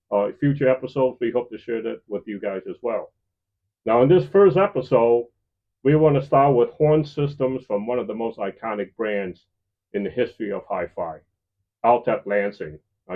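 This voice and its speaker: Male host for a hobby hi-fi channel, mid-pitched, presenting to viewers, muffled lo-fi sound. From lives in the Chicago area, United States. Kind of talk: semi-scripted presenting to viewers. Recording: slightly dull, lo-fi voice recording.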